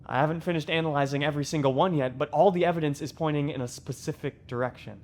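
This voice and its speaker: A man's voice: fairly high pitch, fast talker, darkish tone, a little hiss or hum. A young actor playing an eager college senior who explains his research to his professor. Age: young adult. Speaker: college student. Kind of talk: film dialogue.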